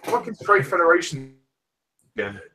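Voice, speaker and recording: A man's voice: fairly high pitch, big pitch swings, moderate pace, bright, forceful tone, low-quality recording. A man giving casual, sarcastic fan commentary over a movie, blunt and given to swearing.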